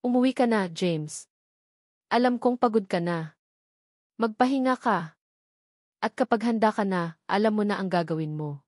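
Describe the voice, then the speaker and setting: A woman voicing a gentle, soft-spoken and secretive woman, an earnest family friend in an audio drama. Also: mid-range voice, moderate pace, long pauses, dry recording, clean studio sound, close to an everyday voice. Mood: sad, gentle.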